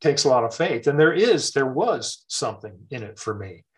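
A middle-aged man with a mid-range voice talks through scripture in a relaxed conversation. He is thoughtful and circles back on himself, with plenty of fillers and restarts. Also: mid-range voice, normal speed. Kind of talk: casual faith conversation.

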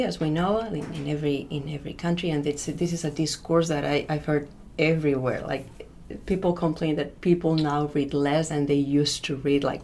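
A woman's voice: low voice, creaky, darkish tone, a little hiss or hum. A Spanish-accented woman answering interview questions as a literature professor, thoughtful and hesitant with many fillers and restarts. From Spain.

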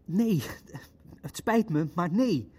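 An actor in an audio drama playing an indignant Nazi jurist who argues formally and insistently for rigid legal order. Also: male voice, high-pitched, lively intonation, takes it slowly, dark tone, breathy edge, exaggerated voice. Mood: insistent, exasperated.

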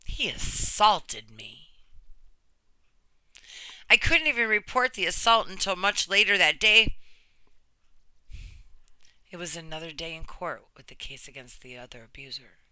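A woman in her thirties reading a scripted first-person personal story in an earnest, deliberate way with emphatic phrasing. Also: medium pitch, very animated, sharp tone, dry recording. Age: 30s.

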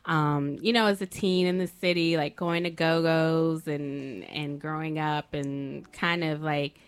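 A woman's voice: low voice, fairly even intonation, a little slow, smooth. A middle-aged American woman from Silver Spring, Maryland, a writer speaking as a guest in a studio. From Silver Spring, Maryland, USA. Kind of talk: story reading and casual studio interview.

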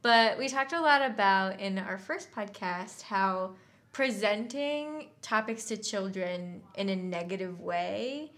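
A woman's voice: mid-range voice, slow pace, a bit bright. A woman co-hosting a podcast as a story writer, casually explaining her thinking in a reflective way.

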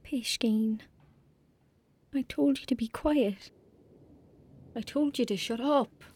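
An actress playing a frightened, guilt-ridden young girl in an audio drama, with an Irish accent and a halting, intense delivery that dips toward whispers. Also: fairly high pitch, a little mellow, breathy edge, heavily acted. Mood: angry, then anguished.

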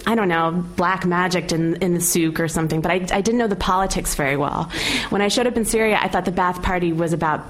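A woman's voice: on the low side, fast talker, hardly any pauses. An American woman from California in her thirties, talking casually and candidly on a panel about her career, with frequent "you know" and light laughs. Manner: chatty and candid, laughs often, many fillers. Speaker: panel speaker, opinions editor. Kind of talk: informal panel talk.

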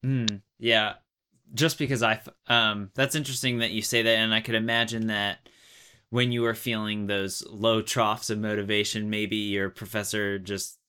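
Young man, interviewer, slightly low pitch, smooth clear tone, casual, tentative halting phrasing. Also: sharp tone. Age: young adult.

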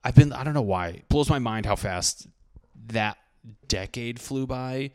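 A man's voice: medium pitch, measured pace, clean tone, dry recording, studio quality. Profane rambling man in his thirties. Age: 30s. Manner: rambling, profane, self-deprecating. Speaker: podcast host. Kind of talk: casual solo podcast monologue.

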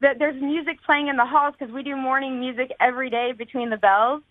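A woman's voice: high voice, fairly even intonation, fairly quick, no room sound, narrow, tinny audio. A woman teacher who is out of breath, explaining herself casually and hurriedly. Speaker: teacher auditioning on a radio show.

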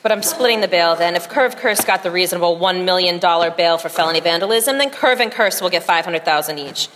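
A woman's voice: lowish voice, quick pace, fairly bright tone, close to an everyday voice. A woman playing a brash, exasperated, salty-tongued judge in a comic radio play, speaking bluntly and irreverently. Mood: dry, decisive. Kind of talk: comic radio play at a rehearsal table read. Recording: echoey rehearsal room, faint noise.

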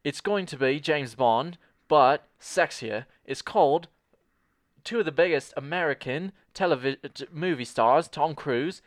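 A man in an improv comedy scene playing a cocky, clueless American who pitches a spy movie, rambling and brash and talking over people. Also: expressive, a little slow, no room sound, studio quality.

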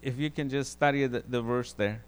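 A man from Guatemala with a Guatemalan Spanish accent, giving an informal testimony sermon, halting and repeating words as he goes. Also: medium pitch, fairly even intonation, clear, resonant tone. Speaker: preacher.

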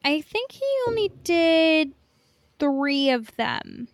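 A woman's voice: high voice, lively intonation, takes it slowly, dry recording, clean studio sound. A woman co-hosting a podcast in casual pop-culture chat, informal and enthusiastic. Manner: informal, enthusiastic, swears freely. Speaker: podcast co-host.

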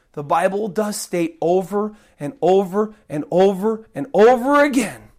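A woman preaching an emphatic sermon while recovering from a cold and fighting to keep her voice. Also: lowish voice. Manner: emphatic, repeats key points, swings between loud stresses and soft drops.

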